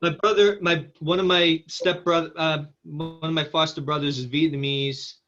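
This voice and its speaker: A man's voice: fairly high pitch, fairly even intonation, normal speed, a bit bright, lo-fi sound. A man with an encouraging, rambling manner, giving casual feedback as the writer at a table read.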